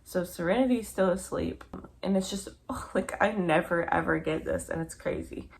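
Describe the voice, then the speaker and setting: A young woman in a casual, chatty vlog update, her voice tired and croaky from just waking up, rambling with lots of fillers. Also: lowish voice, moderate pace, rough recording. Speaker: vlogger.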